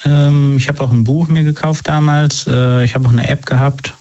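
Guy chatting in an interview, pretty flat delivery, lots of ums, muffled audio. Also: mid-range voice, moderate pace. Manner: hesitant, with many fillers and restarts. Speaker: interview guest. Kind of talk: casual conversational interview. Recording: dull lo-fi sound.

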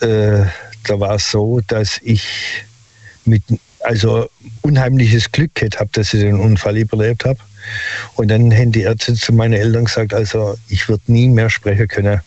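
An older man calls in and tells his story haltingly, with many filler sounds. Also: lowish voice, darkish tone, dry recording. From Stuttgart area. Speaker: caller. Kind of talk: phone-in storytelling. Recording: dull lo-fi phone line.

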